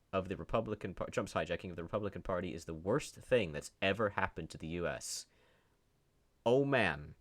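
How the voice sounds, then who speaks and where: A man giving unscripted commentary, reading posts aloud and reacting in a sarcastic, incredulous way. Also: sits low. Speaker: commentary host.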